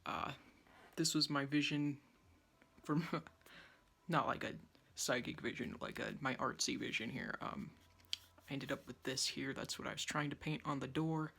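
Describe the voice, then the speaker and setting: Young female art vlogger, breathy and wheezy from a sore throat, rambling self-deprecating chat at a phone close by in a small room. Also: rumbling low, measured pace, pauses often, fairly bright tone. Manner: chatty, self-deprecating, talks while painting. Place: small yellow room at home, indoors. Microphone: phone on a tripod, close-up.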